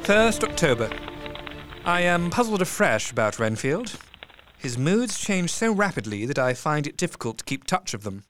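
An actor playing an inquisitive Victorian asylum doctor giving case notes in an audio drama, analytical and expressive. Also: male voice, fairly high pitch, moderate pace, soft background music. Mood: puzzled, probing. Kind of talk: audio drama journal monologue.

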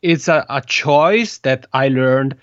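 A man's voice: mid-range voice, takes it slowly, fairly bright tone, dry recording. Italian-accented man, dry muffled sound. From Italian-speaking Switzerland. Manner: hesitant, repeats words while searching for phrasing. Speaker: podcast guest. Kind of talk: conversational interview.

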